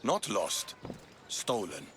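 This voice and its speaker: A man's voice: fairly low pitch, wildly swooping pitch, takes it slowly, noisy background, full character voice. A man playing a stern, distrustful Spartan army commander in a video game, speaking curtly. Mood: stern, grim. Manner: curt, stern. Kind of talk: video game cutscene acting.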